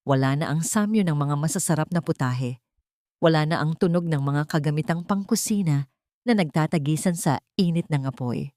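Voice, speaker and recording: A woman's voice: sits low, normal speed, darkish tone, dry recording, studio quality. A woman reading a scripted dramatic story as narrator, in a solemn, melodramatic manner.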